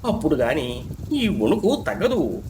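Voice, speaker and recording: Plaintive poor village husband, acted by a man, swooping pitch. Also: huge pitch swings, measured pace, darkish tone, a little hiss or hum. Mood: shivering, pleading.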